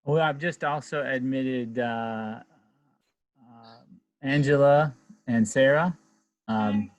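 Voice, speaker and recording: A man's voice: medium pitch, slow pace, highs cut off. A man from Minnesota hosting a club video call, asking each member in turn to introduce themselves and joking along the way. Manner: friendly, joking, asks each person in turn. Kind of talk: casual video-call chat.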